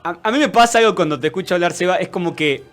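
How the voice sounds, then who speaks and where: A man with an Argentine accent co-hosts a casual radio chat, pitching in questions and quick words of agreement. His voice sits notably high. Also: fairly bright tone. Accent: Argentine Spanish. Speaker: radio co-host.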